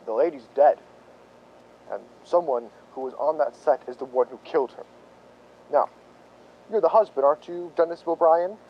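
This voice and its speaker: An actor playing a plain-spoken, suspicious police lieutenant in a radio drama, questioning people in a blunt, folksy way. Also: male voice, on the high side, normal speed, pauses often, dull audio, a little hiss or hum, lightly acted. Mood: blunt, accusing.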